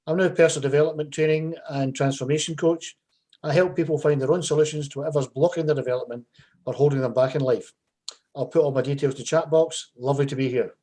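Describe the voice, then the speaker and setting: A Scottish man speaks on a video call. He introduces himself as a life coach, brisk and friendly. He talks at a fast clip. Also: a little high, steady tone, dark tone.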